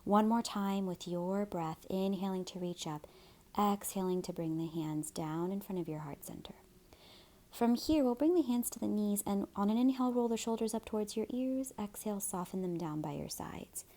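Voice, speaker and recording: A woman teaching gentle yoga and guided meditation in a soft, soothing, breathy way. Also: mid-range voice, moderate pace.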